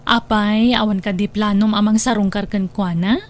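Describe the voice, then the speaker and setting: A woman's voice: mid-range voice, steady tone, moderate pace, lightly acted. A woman playing a kindly, practical restaurant boss in a radio drama, reassuring and questioning. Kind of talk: Ilocano radio drama acting.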